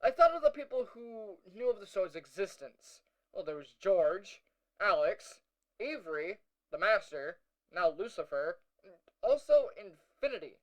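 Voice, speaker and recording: High-voiced, wry young hero played by a man. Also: very animated, measured pace, poor audio. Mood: pensive. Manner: hesitant, casual, dryly wry asides. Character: young male hero recounting a meeting with Lucifer, wry, uneasy. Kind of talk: first-person audio log narration, conversational.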